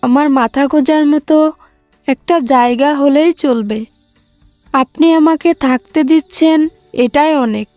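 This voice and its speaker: A meek young orphan girl acted by a woman, high in pitch and deferential. The voice is dry and close, with faint music behind, in children's cartoon style. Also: unhurried, long pauses, darkish tone, no echo. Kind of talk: Bengali children's cartoon voice acting.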